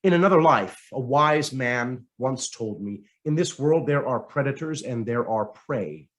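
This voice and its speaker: A man reading a written essay aloud as scripted narration, in a measured, dramatic way with deliberate pauses. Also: medium pitch, animated, fairly bright tone. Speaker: reader of a written article.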